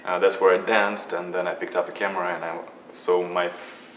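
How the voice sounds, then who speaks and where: A man from Ukraine, a photographer, answering interview questions in a soft, halting way with frequent restarts. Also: low voice, level tone, slight background noise. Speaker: guest photographer. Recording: echoey room, mic from a distance.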